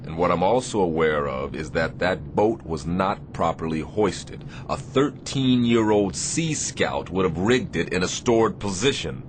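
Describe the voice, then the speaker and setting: Deep-voiced stern Navy captain. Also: male voice, animated. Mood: curt, sardonic. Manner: commanding, sardonic, deliberate phrasing. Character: stern, demanding but caring male Navy destroyer captain. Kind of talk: radio theatre acting. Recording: clear background noise, shipboard ambience.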